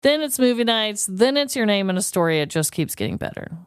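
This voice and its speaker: A woman co-hosting a podcast in casual, jokey conversation, informal and quick to laugh, close on the microphone. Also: mid-range voice, big pitch swings, smooth, no echo. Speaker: podcast co-host. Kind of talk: casual conversational true-crime comedy podcast chat.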